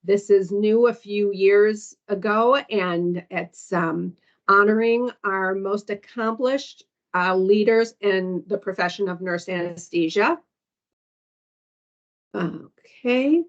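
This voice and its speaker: Woman presenter, mid-range voice, halting delivery with frequent fillers, reading through program lists over a muffled lo-fi call line. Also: medium pitch. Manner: hesitant, frequent ums, reads down lists. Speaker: foundation staff presenter. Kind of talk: orientation call presentation walking through slides. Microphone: conference call audio. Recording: dull lo-fi call recording.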